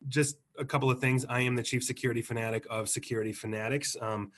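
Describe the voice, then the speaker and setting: A man with a hoarse, fading voice from the flu, explaining technical ideas as a guest expert on a webinar, clearing his throat now and then. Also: mid-range voice, fairly even intonation, quick pace, fairly bright tone. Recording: remote webinar audio.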